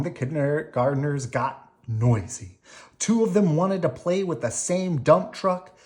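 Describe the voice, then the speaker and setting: Woman, very low voice, lively swooping intonation, children's storybook read-aloud, unhurried.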